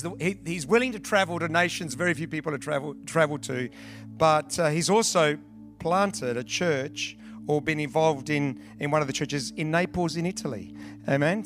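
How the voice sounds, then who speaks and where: A man introduces a visiting preacher to his congregation. He speaks in a halting way full of "uh"s, in a slightly raised voice, with music clearly playing under him. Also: on the high side, a bit bright. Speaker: church pastor introducing a guest. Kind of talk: church introduction.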